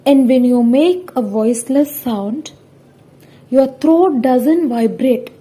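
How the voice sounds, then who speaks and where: Young woman student reading a lesson off a script, slow n halting, kinda mellow voice. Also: high voice, breathy edge. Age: young adult. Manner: careful, halting, word-by-word delivery. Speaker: student presenter. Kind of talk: scripted lesson presentation, read out.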